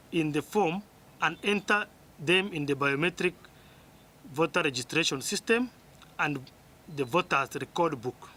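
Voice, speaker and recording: A man's voice: fairly high pitch, sharp tone, a little hiss or hum. A man with a Kenyan accent formally reading out a legal ruling, often stumbling and repeating words. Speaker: electoral commissioner reading a ruling.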